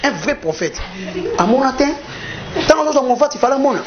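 A man's voice: very high voice, sing-song, quick pace, a crowd in the background. A young man with a Congolese accent, arguing emphatically and repetitively in a casual interview. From Democratic Republic of the Congo. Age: young adult. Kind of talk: animated casual debate. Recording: dull, roomy sound, voices around.

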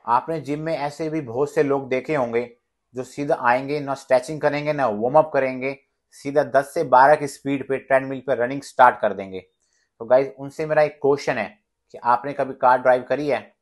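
A man's voice: mid-range voice, steady tone, moderate pace. A man, explaining things to viewers in an earnest, instructive way as a fitness vlogger. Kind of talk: explainer to camera.

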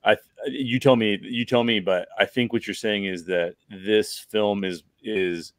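A man's voice: fairly low pitch, fairly even intonation, a little slow, no room sound. Male podcast host, forties, casual and emphatic explainer, close mic, dry sound. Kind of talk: casual film discussion. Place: bedroom at home. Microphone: large microphone close to the mouth.

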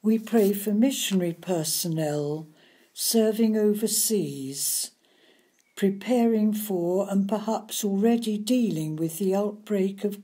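A woman leads church prayers at a slow pace. Her voice is soft-edged and mellow, sitting at a middling pitch. She reads each petition deliberately and reverently. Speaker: lay prayer leader. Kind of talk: church intercessory prayer, read aloud.

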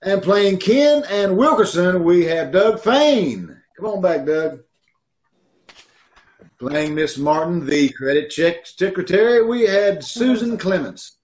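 A man's voice: sits high, expressive, takes it slowly, slight background noise. A middle-aged man casually and jovially hosting a live sign-off on a video call, introducing an amateur cast. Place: room at home, indoors.

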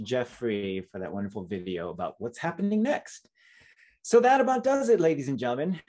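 A middle-aged man hosts an online celebration, talking straight to the viewers. His voice sits at an average pitch, and his presenting is informal and polite. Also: dark tone. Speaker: emcee, host. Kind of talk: hosting a virtual awards event, speaking to viewers. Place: indoors, small room with plain backdrop.

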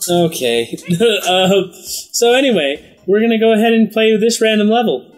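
A young man hosting a casual game let's play, excitable, laughing often and swearing freely. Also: high-pitched, moderate pace, faint music behind. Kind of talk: casual gaming chat.

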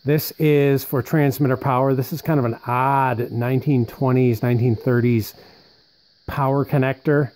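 A man with a soft-edged, mellow voice presents in an easy show-and-tell style. He talks conversationally and thinks aloud as he goes, at a medium pitch. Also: steady tone, moderate pace. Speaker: presenter showing vintage military radio equipment. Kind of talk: casual unscripted show-and-tell narration.

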